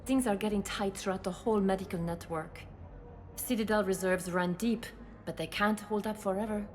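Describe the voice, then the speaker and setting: A woman's voice: medium pitch, normal speed, darkish tone, faint music behind, close to an everyday voice. A woman playing a composed, courteous, professional doctor in a video game.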